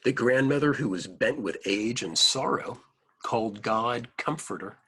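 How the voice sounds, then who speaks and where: A man reading a picture book aloud to children, adding simple explanatory asides in an expressive manner. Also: medium pitch, a little slow, breathy edge.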